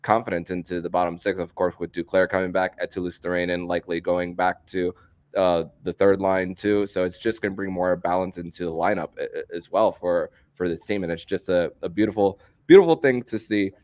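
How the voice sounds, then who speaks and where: A man hosting a sports podcast in a conversational style, halting often and repeating words as he goes. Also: low voice, fairly even intonation, darkish tone.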